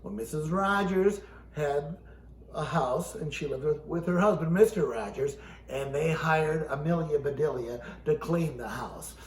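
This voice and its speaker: A man's voice: on the high side, expressive, a little slow. A man hosting as a ventriloquist, reading a children's storybook aloud with comic banter. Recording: echoey room, faint background noise.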